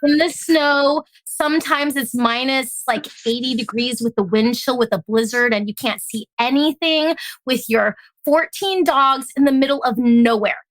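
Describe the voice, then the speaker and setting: Chatty woman, higher voice, dry sound. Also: normal speed, dry recording, rough recording. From born in Hong Kong, raised in Alaska. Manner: chatty, laughs often, lively storytelling. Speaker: guest, tea company founder. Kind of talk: casual interview chat.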